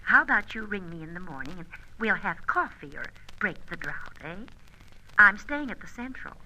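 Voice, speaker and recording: Bright, brassy, flirtatious American dame. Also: female voice, on the low side, lively intonation, moderate pace. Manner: brash, teasing, slangy. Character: sassy, flirtatious red-headed American female rival spy. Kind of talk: old-time radio drama acting. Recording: noisy old radio recording, very bright.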